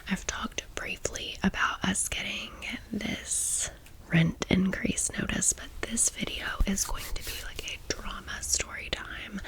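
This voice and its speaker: An American woman in her thirties whispers in a chatty ASMR style. Her delivery is very slow and unhurried, with plenty of crackly vocal fry. She phrases things playfully and slangily, like casual storytelling for a close listener. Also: lowish voice, fairly bright tone. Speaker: ASMR video host.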